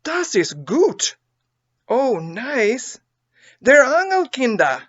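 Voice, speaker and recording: A woman's voice: high-pitched, sing-song, drawn-out words, muffled recording, voice pushed up, full character voice. A woman voicing a poor, grateful immigrant, exclaiming with awestruck joy in a storybook reading. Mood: awed joy. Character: poor, grateful, tearfully joyful German immigrant mother and children. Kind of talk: storybook character voice.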